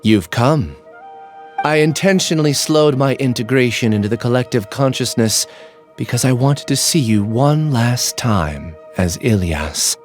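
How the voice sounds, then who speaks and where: An actor playing a quiet young male researcher who calmly and earnestly explains why he is joining a hive mind. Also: mid-range voice, unhurried, natural voice. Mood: resigned. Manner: calm, earnest, explaining himself. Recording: faint game music behind.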